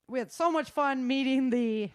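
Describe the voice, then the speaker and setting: A woman's voice: high voice, normal speed, smooth, no echo, studio quality. A woman hosting a show, running through news in an informal, slangy way with chatty asides. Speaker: host of a survival news show.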